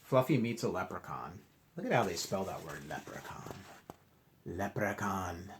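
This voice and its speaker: A man reading a storybook aloud to children like a teacher, expressively, pausing to ask questions and explain. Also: deep voice, very animated, a little hiss or hum. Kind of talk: interactive storybook read-aloud.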